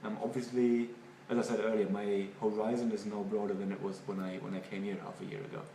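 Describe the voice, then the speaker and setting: A man from Germany with a German accent, a native German speaker, giving halting, thoughtful interview answers and often repeating words. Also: fairly low pitch, flat delivery, mellow. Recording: echoey room, far from mic, noisy.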